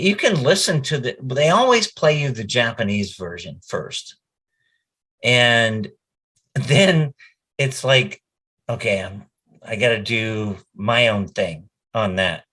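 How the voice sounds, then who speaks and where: A man's voice: mid-range voice, lively intonation, takes it slowly, long pauses, a bit bright, slightly rough. A middle-aged man, a voice actor, telling anecdotes casually in an interview and acting out bits of quoted speech as he goes.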